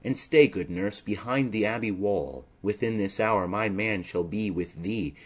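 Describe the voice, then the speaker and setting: Classic play audiobook: earnest young male lover, poetic and formal, low, somewhat husky man reads the part. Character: earnest lovestruck young nobleman.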